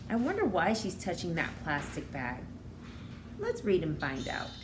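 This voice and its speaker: A woman in her thirties reading a picture book aloud as a teacher, expressively and with explanations for young children. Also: lowish voice. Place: small room indoors. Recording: roomy indoor echo, faint background noise.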